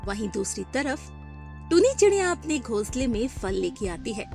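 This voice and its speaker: A woman narrates a children's cartoon story in a clear, storytelling cadence. Her voice sits fairly high and is animated, and faint music plays underneath. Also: moderate pace.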